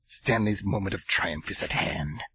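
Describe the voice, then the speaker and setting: A man's voice: sits low, normal speed, sharp tone, breathy edge. A man hosting an amateur radio theatre show, narrating the story earnestly and chatting between segments with casual fillers.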